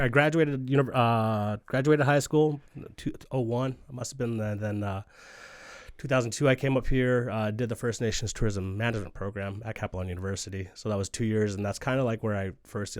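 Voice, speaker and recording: Guest man, forties, from the US. Quick, low-ish, easygoing and chatty, dry close sound. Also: fairly even intonation, no room sound. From United States. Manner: easygoing, rambling, laughs often. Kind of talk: relaxed conversational storytelling.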